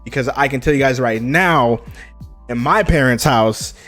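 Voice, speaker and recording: A man's voice: mid-range voice, moderate pace, a bit bright, faint music behind. A young man hosting a casual gaming news live stream, hyped and chatty, greeting viewers in chat by name. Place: home streaming setup. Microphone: desk mic.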